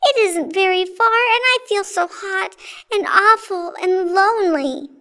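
A woman voicing a small, miserable boy from a children's storybook, pleading in a plaintive, drawn-out way. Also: very high voice, sharp tone, pitched above the performer's own voice, full character voice. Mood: tearful, pleading.

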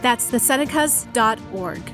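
A woman announcer reading a scripted promo in a clear, upbeat way. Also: a little high, lively intonation, measured pace, over music.

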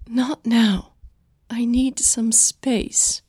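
A woman's voice: a little high, little pitch movement, extremely slow, dark tone, husky, no echo, studio quality, voice pushed up, exaggerated voice. A woman voicing a bitter, defensive young actress in an audio drama quarrel, emotional and clipped, with a flu-affected voice. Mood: quiet, withdrawn.

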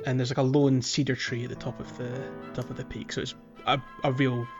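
A man's voice: medium pitch, flat delivery, a little fast, music in the background. Man chatting hesitantly, slightly muffled recording. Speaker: podcast co-host. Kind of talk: casual video game discussion.

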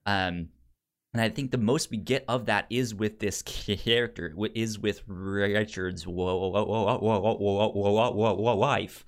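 A podcast co-host with a frequent stutter, repeating sounds and syllables while casually discussing film in a halting, hesitant way. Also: low-pitched, steady tone.

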